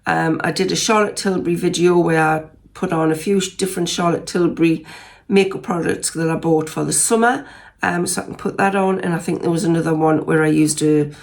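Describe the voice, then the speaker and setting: A woman in her sixties with a Geordie accent talks through her favourite makeup in a relaxed, rambling way, full of ums and little restarts. Her voice sits a touch low and has a gravelly fry to it, made croakier by a cold. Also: brisk. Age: 60s. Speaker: beauty vlogger. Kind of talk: casual makeup review vlog.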